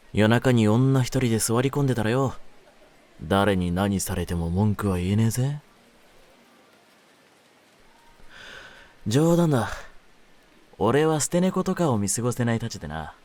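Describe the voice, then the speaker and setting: A man playing a world-weary, lonely young mafioso in an intimate roleplay audio, speaking in a low voice with rough streetwise phrasing. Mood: teasing, casual. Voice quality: low-pitched voice. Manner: rough, streetwise masculine speech, brooding and soft-spoken. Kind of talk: intimate Japanese situation-voice roleplay, ASMR-like.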